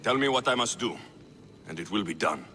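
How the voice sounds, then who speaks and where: A man's voice: fairly low pitch, expressive, fairly quick, bright, forceful tone, a little hiss or hum. A man acting a bold, confident young Spartan mercenary in a video game, blunt and wry. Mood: resolute.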